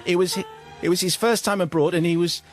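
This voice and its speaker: A man's voice: high-pitched, steady tone, quick pace, soft background music. A man hosting a radio show, reading a listener's letter aloud and often breaking into laughter.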